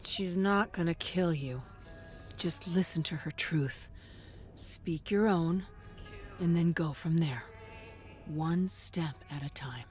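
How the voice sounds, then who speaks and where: A woman's voice: deep voice, takes it slowly, long pauses, darkish tone. A woman acting a blunt, protective character in a video game cutscene, giving tough-love counsel in a frank, emphatic way. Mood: firm, advising. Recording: music under the voice.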